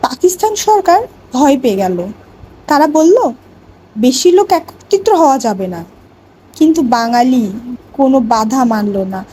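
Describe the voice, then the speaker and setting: A woman playing a schoolteacher in an educational cartoon, narrating a lesson and quizzing pupils in a deliberate, instructive way. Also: high-pitched, expressive, dark tone, a little hiss or hum, poor audio. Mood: instructive, solemn. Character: female schoolteacher telling a history lesson to children. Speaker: teacher.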